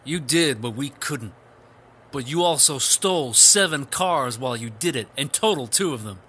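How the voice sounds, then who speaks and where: An actor playing a blunt, excitable police superior in a comedy radio play. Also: male voice, medium pitch, moderate pace, husky. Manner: enthusiastic, matter-of-fact.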